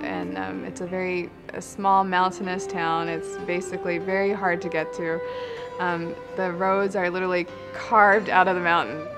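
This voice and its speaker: Even-toned, lowish-voiced woman explaining conversationally. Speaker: documentary interviewee. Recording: music playing behind the voice. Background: background music.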